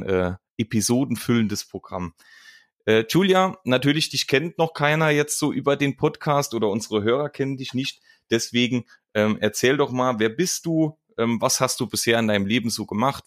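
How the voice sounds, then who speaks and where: A man's voice: mid-range voice, no echo, studio quality. A young man in his twenties hosting a casual podcast interview, rambling and friendly, with lots of fillers. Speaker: podcast host.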